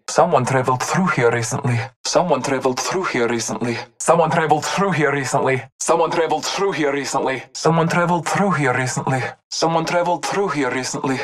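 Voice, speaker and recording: An actor playing a solemn, devout masked hunter and tracker in a video game, speaking gravely with formal, measured phrasing. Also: medium pitch, background noise, natural voice. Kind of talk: video game character callouts.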